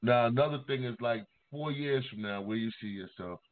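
A man's voice: medium pitch, brisk. A man hosting a casual interview, asking loose, rambling questions in a conversational way. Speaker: interview host. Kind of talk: casual chat. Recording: thin telephone line.

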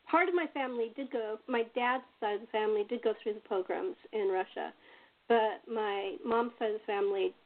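A woman with a somewhat high-pitched voice hosts a casual chat show. Her voice comes through a narrow, phone-like connection. She talks informally, as a host among guests. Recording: thin, phone-like line.

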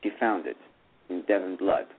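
A man's voice: low-pitched, normal speed, slightly rough. A man narrating a novel aloud on a radio play, reading steadily and often correcting himself. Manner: reads steadily, with frequent self-corrections. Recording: tinny phone-line radio.

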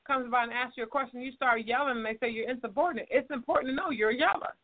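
A woman's voice: on the high side, quick pace, no echo. Frank woman host on tinny audio. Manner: frank, teaching, gives examples. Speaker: host and counselor. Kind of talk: advice talk show. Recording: phone-like narrow audio.

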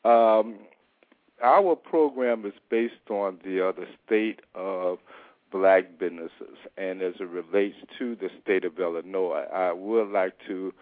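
Man, radio co-host, rambling, halting, lots of fillers, low voice, dry tinny phone sound. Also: dry recording. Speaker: radio co-host and newspaper reporter. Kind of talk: call-in radio interview.

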